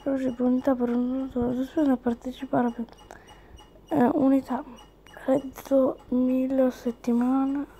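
A woman with a fairly high voice gives casual game commentary. She is chatty, slipping between her own remarks and reading the game's text out loud. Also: flat delivery, a little slow, a little hiss or hum. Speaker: gaming video host.

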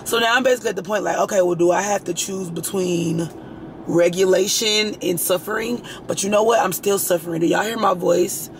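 A hoarse young woman with a raspy voice, chatting frankly and rambling to her vlog audience. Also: medium pitch, fairly even intonation, fairly quick, slight background noise. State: hoarse, itchy throat. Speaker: lifestyle vlogger. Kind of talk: casual chat to camera.

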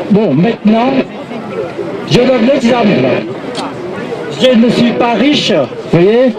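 An older man from Vietnam gives a halting speech at an outdoor rally into a handheld mic, checking in with the crowd again and again. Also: sits high, low-quality recording. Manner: halting, repeats "vous voyez?" to the crowd. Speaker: protest speaker. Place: outdoor street rally in a city square. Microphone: handheld mic through a loudspeaker. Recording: open-air rally with crowd noise. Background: crowd of protesters.

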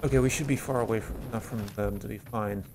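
A man's voice: fairly low pitch, fairly even intonation, fast talker, background noise. A man giving casual, rambling game commentary, thinking aloud as he plays. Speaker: gameplay host.

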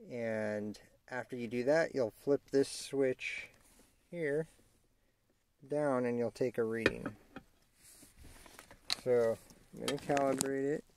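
Male how-to demonstrator, gravelly fry, casual and halting delivery, slightly noisy handheld recording. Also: medium pitch. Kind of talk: casual hands-on tutorial narration. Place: inside a parked vehicle. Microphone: handheld camera mic. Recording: clearly noisy amateur camera audio, clicks.

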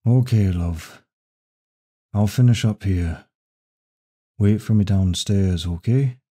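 A man playing a devoted, secretive CEO in an intimate audio roleplay, soft-spoken and husky, with sighs and pauses. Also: on the low side. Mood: calm, tender. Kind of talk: intimate ASMR roleplay acting, one side of a dialogue.

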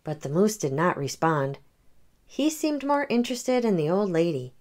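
A woman hosting a children's read-aloud, narrating a picture book in an expressive storytelling manner. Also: on the low side, normal speed.

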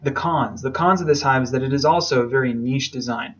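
A man's voice: medium pitch, brisk, fairly bright tone. A man from the southern United States giving an informal slide lecture as an instructor, explaining things in a casual, enthusiastic manner. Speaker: beekeeping instructor.

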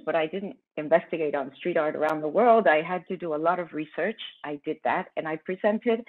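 A woman in her fifties from Argentina, a tour guide giving a friendly, chatty conference talk over a video call with many ums and restarts. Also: lowish voice. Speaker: tour guide presenting online. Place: indoor room at home. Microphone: laptop webcam on a video call. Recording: tinny video call audio.

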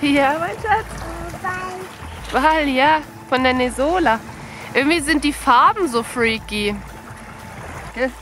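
A woman in her early thirties, a mother chatting casually to a handheld camera on a walk by a lake outdoors and calling out loudly to her dog now and then. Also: high-pitched, expressive, slow, long pauses, noisy background. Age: early 30s. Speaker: vlogger and mother. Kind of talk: casual walk-and-talk vlog. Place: outdoors on a lakeshore beach. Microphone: handheld camera at arm's length.

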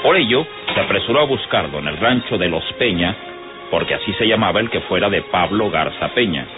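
Very deep Mexican radio narrator, man. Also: lively intonation, a little fast. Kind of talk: old radio scripted narration. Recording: tinny old radio recording with music.

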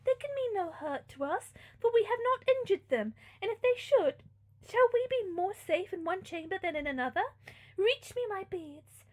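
A woman's voice: very high-pitched, animated, moderate pace, darkish tone, pitched above the performer's own voice. A woman voicing a composed, bold young princess in a gothic novel, formal and imperious. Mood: resolute. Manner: imperious, formal, archaic diction. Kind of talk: gothic novel read-aloud.

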